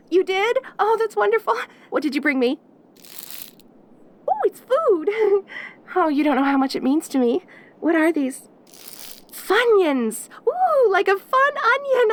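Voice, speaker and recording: A woman's voice: extremely high pitch, sing-song, measured pace, long pauses, heavily acted. A woman acting a lonely, clingy caged demon girl in an audio roleplay, perky and cutesy, gushing and stammering at the listener. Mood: delighted, excited.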